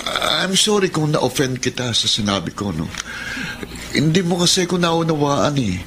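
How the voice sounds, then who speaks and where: In a noisy radio drama recording, a devoted young husband. His voice sits fairly high, earnest and apologetic, always trying to placate. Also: big pitch swings, normal speed. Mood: placating.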